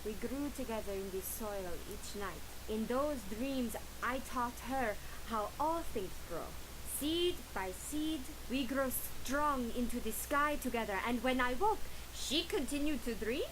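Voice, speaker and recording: Sharp-tongued stage actress, high-pitched, dramatic and defiant, rehearsing grieving goddess for a game log; clear hiss. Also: female voice, animated, a little slow. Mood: solemn. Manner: dramatic declaiming, exasperated retorts.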